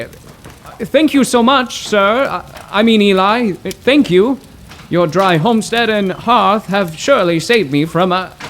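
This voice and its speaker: An actor playing a polite, earnest, bewildered 1930s poetry professor, halting and formal, in an audio drama. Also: male voice, high voice, a bit bright, slight background noise, exaggerated voice. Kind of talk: audio drama acting in 1930s period setting.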